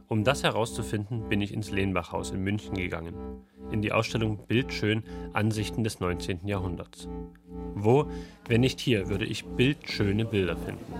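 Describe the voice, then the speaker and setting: Low-voiced man narrating over music. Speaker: radio feature reporter. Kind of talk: scripted explanatory narration.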